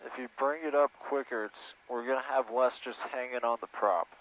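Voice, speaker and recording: A man's voice: mid-range voice, steady tone, moderate pace, a bit bright. Muffled male flight instructor over engine noise. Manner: encouraging, instructive. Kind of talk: casual in-flight coaching. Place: small airplane cockpit in flight. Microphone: aviation headset intercom.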